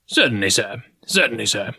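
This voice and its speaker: A man's voice: fairly low pitch, very animated, fairly quick, creaky, bright, forceful tone, husky, no room sound, clean studio sound, full character voice. Man putting on a fussy old professor. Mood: courteous, resigned. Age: older. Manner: fussy, tutting, courteous. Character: fussy, absent-minded, anxious old male professor.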